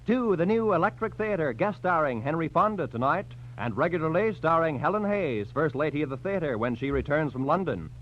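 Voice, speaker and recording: Male vintage network announcer, American, scripted and emphatic, slightly high voice, dull period recording. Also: animated, fairly quick, hardly any pauses, slight background noise. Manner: polished, emphatic, formal. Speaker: radio announcer. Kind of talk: 1940s radio announcing, scripted credits and promotion. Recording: dull 1940s radio recording.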